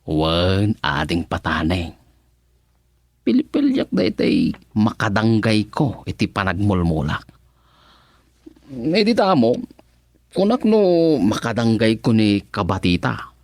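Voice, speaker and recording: An actor with an Ilocano accent playing a flirtatious, sheepish village farmer in a comic radio drama, sweet-talking and stammering when flustered. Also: male voice, fairly low pitch, wildly swooping pitch, slow pace, pauses often, no echo, exaggerated voice. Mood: playful boasting. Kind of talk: Filipino radio comedy drama acting.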